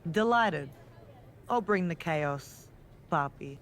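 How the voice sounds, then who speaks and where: A woman's voice: deep voice, lively intonation, takes it slowly, a little hiss or hum. A woman playing a cool, menacing, self-assured female wrestling villain in a video game cutscene.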